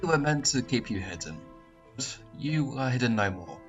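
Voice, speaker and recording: A man voicing a solemn dragonfly father, speaking gravely and in a measured way over faint music. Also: mid-range voice, animated, close to an everyday voice.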